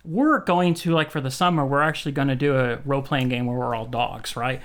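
A man hosting a podcast, telling casual stories in an animated way. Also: mid-range voice, brisk. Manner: animated storytelling. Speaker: podcast host. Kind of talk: casual chat.

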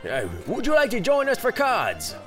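An actor playing a chummy, eager gentleman card player in a period audio drama. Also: very high-pitched, wildly swooping pitch, moderate pace, faint music behind, exaggerated voice. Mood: eager, sociable.

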